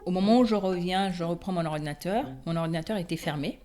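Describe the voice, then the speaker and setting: A middle-aged woman from the Democratic Republic of the Congo telling her own story conversationally, animated and often searching for her words. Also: lowish voice, fast talker, faint music behind. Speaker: interview guest, independent export consultant. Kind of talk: personal testimony, conversational storytelling.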